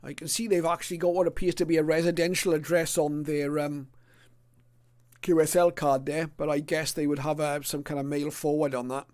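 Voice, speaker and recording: A middle-aged or older man presenting a casual illustrated hobby talk in a hesitant, unscripted way with frequent pauses. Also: on the high side, flat delivery, a little mellow.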